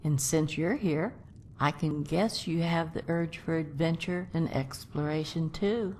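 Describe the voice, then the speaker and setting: Woman playing low-voiced, unhurried old grandmother adventurer. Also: darkish tone, a little hiss or hum. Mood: grateful, eager. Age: older. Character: feisty old grandmother adventurer, warm storyteller. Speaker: companion character in a game mod. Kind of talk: voiced game character dialogue, storytelling.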